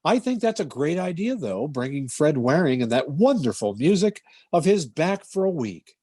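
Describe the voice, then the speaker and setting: A man's voice: a little high, very animated, normal speed, dark tone, slightly rough, no room sound, studio quality. A performer playing a cheerful, glib salesman, a male family friend, breezy and chummy in old-time radio comedy style.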